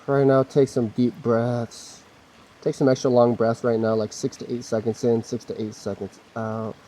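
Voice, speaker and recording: Guy leading a slow stretch flow, a bit hoarse from being sick, calm easy cues, mid voice, soft rain-ish noise behind. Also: mid-range voice, poor audio. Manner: calm, gentle cueing of moves and breaths. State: slightly hoarse, recovering from a cough. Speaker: mobility and stretching instructor. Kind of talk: guided mobility flow instruction.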